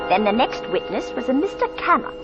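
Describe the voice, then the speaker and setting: Anxious, high-voiced landlady over dramatic music. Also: big pitch swings, a little fast. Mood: tense confiding. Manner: fearful, breathless, melodramatic. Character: anxious, suspicious landlady, wife and mother. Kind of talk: 1940s radio acting, dramatic first-person narration. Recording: dull 1940s radio recording.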